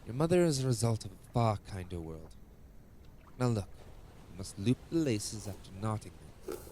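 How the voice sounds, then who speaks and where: An actor playing a patient, dryly teasing guardian with formal old-world diction in an audio drama. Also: male voice, fairly low pitch, animated, normal speed, mellow, slight background noise, natural voice.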